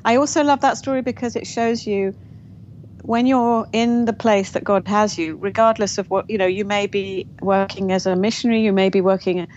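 A woman's voice: medium pitch, fairly even intonation, normal speed. Woman, interview guest, reflective storyteller, muffled lo-fi sound, faint hiss. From England. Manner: warm, reflective storytelling with frequent restarts and light laughs. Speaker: guest author. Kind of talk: interview conversation. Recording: dull lo-fi audio with faint noise.

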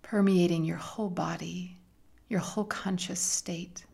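A woman leading a guided meditation in a soft, gentle, soothing manner with long pauses and breathy phrase endings. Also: lowish voice, fairly even intonation.

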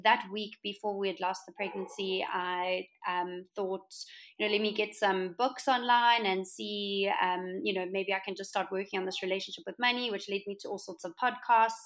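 A woman's voice: mid-range voice, fairly bright tone. Chatty South African woman. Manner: chatty, many ums and restarts. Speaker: podcast guest, interior designer. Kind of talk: casual interview chat.

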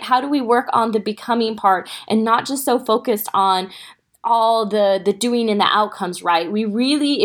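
A woman hosting a fitness podcast for mothers, sounding a little stuffy, talking casually and straight to her listeners with lots of "right?" asides. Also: medium pitch. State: stuffy from a sore throat. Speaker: podcast host and fitness coach. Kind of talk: casual motivational podcast talk.

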